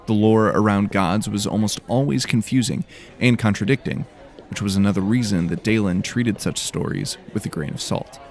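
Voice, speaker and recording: A man's voice: on the low side, creaky. A man reading scripted fantasy audiobook narration as a storyteller.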